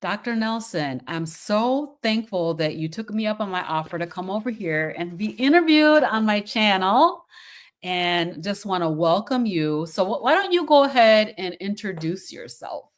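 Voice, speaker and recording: A woman's voice: mid-range voice, expressive, normal speed. An older woman hosting an interview show, chatty and enthusiastic, rambling with fillers. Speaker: podcast host. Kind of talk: casual interview.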